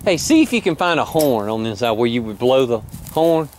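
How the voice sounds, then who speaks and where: A man's voice: medium pitch, very animated, brisk, a little mellow, slight background noise. A middle-aged man filming outdoors, teasing his partner with questions and talking to viewers. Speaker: co-host behind the camera. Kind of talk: casual banter, talking to viewers. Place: outdoor rural junkyard.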